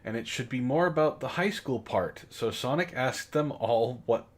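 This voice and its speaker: A man's voice: medium pitch, lively intonation, moderate pace. A man reading fan fiction aloud for comedy, mocking it and breaking off for sardonic asides. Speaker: book club host and reader.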